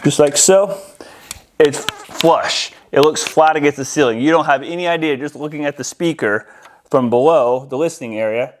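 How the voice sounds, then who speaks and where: A man's voice: a little high, moderate pace, slight background noise. An American man giving a casual, explanatory product unboxing and demonstration in an informal, somewhat hesitant way. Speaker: audio-video installer presenting a product. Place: indoors in a house, near a window.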